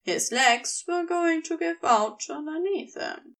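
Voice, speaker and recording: A woman reads a story aloud in a high, creaky voice. Her narration is expressive and measured, with plenty of melodic movement. She sounds a little way off from the microphone. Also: distant mic. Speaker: podfic reader.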